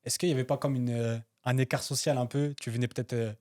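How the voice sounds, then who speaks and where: A man's voice: mid-range voice, steady tone, fast, a little mellow. A man hosting a casual interview, asking curious follow-up questions with fillers.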